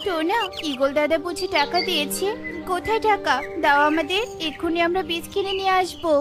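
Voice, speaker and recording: A high-pitched mother bird from a cartoon fable, voiced by a woman, tender and anxious. Music plays clearly behind her caring words. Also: a little fast. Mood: eager.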